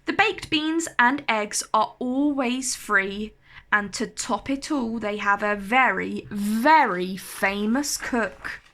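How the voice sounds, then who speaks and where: A woman reading a children's storybook aloud as narrator, with expressive, deliberate delivery. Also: a little high.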